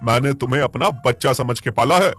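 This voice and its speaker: A man's voice: medium pitch, brisk, a bit bright, slightly rough. A man voicing a kindly, fatherly village cattle owner in a cartoon story, in an emphatic way. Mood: affectionate.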